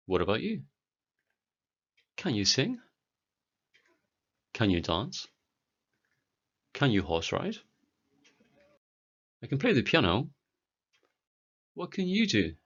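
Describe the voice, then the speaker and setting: A British man in his forties teaching a beginner English lesson, speaking clearly and deliberately for learners. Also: mid-range voice, huge pitch swings, fairly bright tone, muffled recording. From Britain. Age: 40s.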